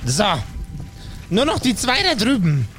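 A sneering, impatient satyr played by a man in a fantasy audio play. The voice sits high and takes its time, blunt and bossy, with faint music underneath. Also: wildly swooping pitch, a little slow, fairly bright tone, full character voice. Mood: brusque. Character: gruff, impatient male satyr brute, cynical and menacing.

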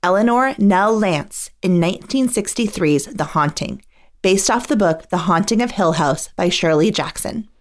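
Woman narrating with lively, varied intonation. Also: mid-range voice, moderate pace. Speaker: narrator, host. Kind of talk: scripted biographical narration.

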